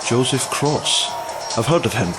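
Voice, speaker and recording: Male actor as a fretting PM, middle pitch, over clear music. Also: expressive, measured pace, close to an everyday voice. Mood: preoccupied. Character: British Prime Minister, worried, dithering.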